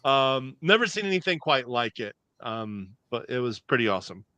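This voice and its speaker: A man's voice: medium pitch, big pitch swings, normal speed, pushed and bright, clean tone, no echo. A male host in casual chat, upbeat and steering the conversation.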